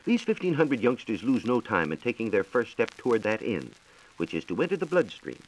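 A hissy 1940s transcription disc carries an American man with a fairly low voice. He lectures formally as a radio science reporter, with lively swings of pitch and declamatory phrasing. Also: fairly quick, low-quality recording. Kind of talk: 1940s scripted educational radio talk. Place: radio studio.

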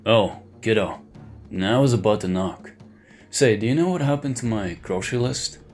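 A menacing uncle in an audio drama , played by a man. His voice sits on the low side and he speaks with calm, cold-blooded control. Faint music plays behind him. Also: moderate pace, lightly acted. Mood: falsely casual.

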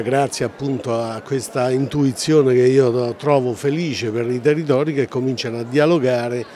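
A man's voice: medium pitch, warm tone, slight background noise. An older man, an official, giving an interview answer into a handheld microphone in an exhibition hall.

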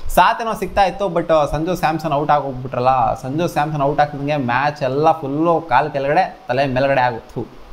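A man in his twenties talking to camera in casual, colloquial, exclamatory cricket commentary, recorded on a lapel mic in a small home studio. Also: medium pitch. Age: 20s. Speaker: host of a cricket review channel. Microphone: clip-on lapel mic.

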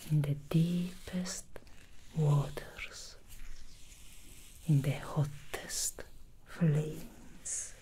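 Woman whispering as a seductive demon hairdresser, low, unhurried, mellow, faint hiss, close mic. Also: poor audio. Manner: slow, teasing and alluring. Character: seductive female demon hair stylist from hell. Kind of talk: ASMR roleplay. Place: indoor studio set. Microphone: close ASMR microphone.

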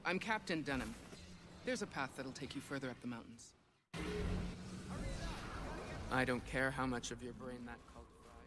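A man's voice: medium pitch, a little fast, close to an everyday voice. Guy doing a grim game soldier captain, dry and sardonic, noisy ambience behind him. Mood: incredulous, blunt. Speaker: game character, military captain. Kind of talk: video game cutscene acting. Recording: clear ambient noise behind voice.

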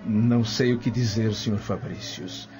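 A man's voice: on the low side, steady tone, moderate pace, natural voice. A man playing an earnest, respectful servant of ancient Rome, reflective and formal, in a radio drama. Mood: awed, wistful. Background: music.

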